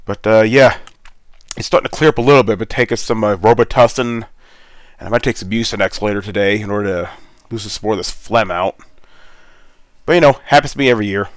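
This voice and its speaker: A man's voice: lowish voice, brisk, pauses often, dry recording. A congested man with a sore throat, rambling in a laid-back way as he hosts gameplay commentary over a headset mic. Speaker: gaming channel host.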